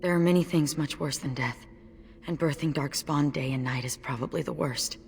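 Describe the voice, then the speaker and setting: Game role: wry, plucky survivor fighter; chatty delivery in a reverberant hall. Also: female voice, low voice, normal speed, creaky, a little hiss or hum. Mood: shaken. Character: plucky, wry female warrior, lone survivor. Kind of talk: video game voice acting.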